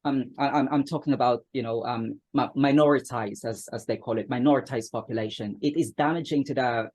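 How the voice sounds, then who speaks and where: A man's voice: medium pitch, steady tone, fairly quick, a little mellow. A man from the Philippines, answering interview questions hesitantly, with many ums and restarts. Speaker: guest author.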